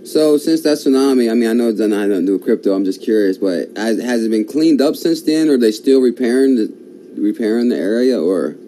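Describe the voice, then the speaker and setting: A man's voice: mid-range voice, lively intonation, fast, warm tone, a little hiss or hum, rough recording. A man hosting an interview, reacting enthusiastically with frequent exclamations of wow. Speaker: host. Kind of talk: conversational interview.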